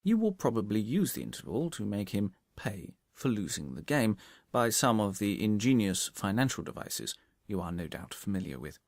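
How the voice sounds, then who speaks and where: Shrewd gentleman sleuth played by a male reader. Low, creaky, very animated, methodical and confident storybook delivery. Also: normal speed, no echo, clean studio sound. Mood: confident, explanatory. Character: shrewd, self-assured gentleman detective explaining his methods.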